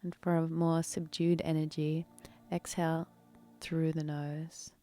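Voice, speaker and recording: A woman with a mellow, warm voice guides a meditation in a very even, level tone. She speaks softly and breathily, taking her time and leaving long pauses between phrases. Faint music plays behind her. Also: low voice. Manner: soft, breathy, unhurried delivery with long pauses. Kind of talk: guided meditation, soothing instruction.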